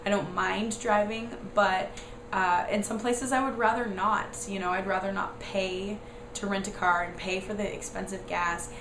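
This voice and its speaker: Young American woman vlogger, hoarse and croaky from a cold, rambling and casual; echoey room, noisy backdrop. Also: steady tone, normal speed. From United States. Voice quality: raspy, squeaky and croaky. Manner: rambling, lots of "like" and "um". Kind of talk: casual chatty explanation.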